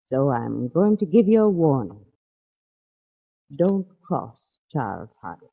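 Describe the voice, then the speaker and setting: An actor playing an earnest doctor and family friend who speaks carefully and gravely in 1940s radio drama. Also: male voice, on the high side, big pitch swings, slow, exaggerated voice. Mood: grave warning. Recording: muffled old 1940s radio recording.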